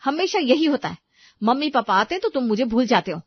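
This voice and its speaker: A woman voicing a sharp, domineering wife and mother in a family storybook tale, bossy and scolding. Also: mid-range voice, lively intonation, brisk, no room sound, dull audio, lightly acted. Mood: angry, jealous. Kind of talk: dramatized storybook narration.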